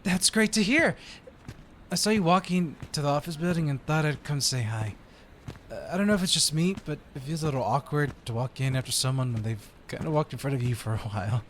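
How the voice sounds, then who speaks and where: A man's voice: fairly high pitch, a little fast, fairly bright tone, slight background noise, lightly acted. A man playing a chatty, eager agent in an audio roleplay, upbeat, friendly and a bit sheepish. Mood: cheerful, eager. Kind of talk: audio roleplay acting, one side of conversation.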